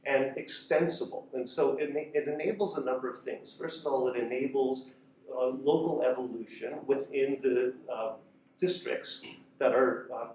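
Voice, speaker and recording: A man's voice: medium pitch, a little mellow, a little hiss or hum. A man giving a conversational talk with slides to a room, using fillers and restarts as he explains. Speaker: conference speaker. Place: lecture room. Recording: echoey room from far off.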